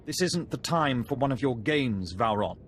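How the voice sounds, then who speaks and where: Man playing a stern senior dark lord. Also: mid-range voice, expressive, moderate pace, a little hiss or hum, close to an everyday voice. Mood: stern, warning. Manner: cautioning, formal. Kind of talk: video game voice acting. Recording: music under the voice.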